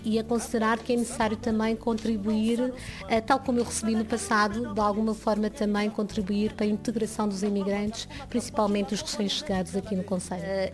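Woman, from Angola, volunteer interviewee, average pitch, measured long sentences, personal account, music clearly behind. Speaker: association volunteer.

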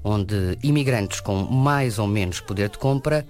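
A man from Portugal, narrating a scripted radio news report in a measured voice. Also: lowish voice, a little mellow. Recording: music playing behind.

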